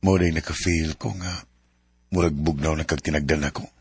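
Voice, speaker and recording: Christoph, a deep gravelly boyfriend. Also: male voice, fairly even intonation, brisk, no echo, full character voice. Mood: tender. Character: Christoph, gentle forgiving young boyfriend. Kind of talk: Cebuano radio drama acting.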